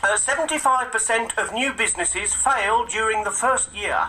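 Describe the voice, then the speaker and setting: A flustered, obedient sergeant, stammers in a very high, bright voice. The timid, hesitant delivery suits a comic radio play. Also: male voice, moderate pace, slight background noise, rough recording. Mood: nervous. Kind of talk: comic radio drama acting.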